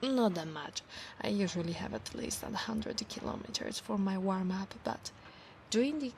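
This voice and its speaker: A woman with an Italian accent voicing an affectionate, possessive centaur knight in a soft ASMR roleplay, often slipping into breathy whispers. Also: medium pitch, animated, moderate pace, slight background noise. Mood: tired, teasing. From Italy.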